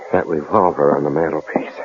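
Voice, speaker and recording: Strained earnest husband in an old radio play, really low voice, muffled and hissy. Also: male voice, fairly even intonation, fairly quick. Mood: reassuring. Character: protective, strained husband standing up to captor. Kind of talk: 1970s radio drama acting.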